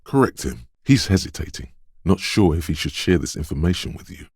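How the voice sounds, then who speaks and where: A man voicing a detective's quick-witted inner instinct in a video game, narrating in a measured, knowing, dramatic way. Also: low-pitched, sing-song, a little mellow, husky, no room sound, studio quality, full character voice. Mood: observant, knowing. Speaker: voice actor. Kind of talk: second-person game narration.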